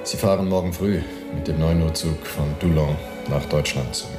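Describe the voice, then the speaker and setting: An actor playing a grave, knowing hotel guest in a German radio drama, speaking in a measured, dry way. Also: male voice, a bass voice, steady tone, echoey room, over music. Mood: cool, revealing.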